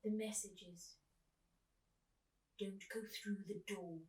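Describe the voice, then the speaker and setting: Woman doing an eccentric, deliberate old man, echoey room. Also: mid-range voice, steady tone, a little mellow, far from the mic, lightly acted. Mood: secretive, grave. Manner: slow, deliberate, odd formal phrasing. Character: crazy old man upstairs, eccentric and secretive. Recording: echoey room, away from the mic.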